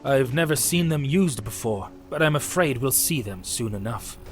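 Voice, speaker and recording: An actor playing a resolute, noble knight commander in a fantasy audio story, speaking in a formal, commanding manner. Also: male voice, medium pitch, lively intonation, moderate pace, faint music behind, lightly acted. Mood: grave, wry. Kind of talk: fantasy audio drama acting.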